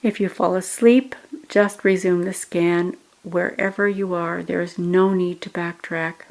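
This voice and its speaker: A woman with a slightly low voice leads a guided meditation. She speaks very slowly, in measured, instructional phrases. Pauses separate the short phrases. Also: steady tone, rough recording.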